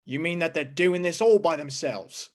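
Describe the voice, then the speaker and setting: A woman playing an exasperated, bullying police sergeant with a gruff manner, in old-time radio style. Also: low voice, normal speed, darkish tone, lightly acted. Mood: exasperated, hectoring.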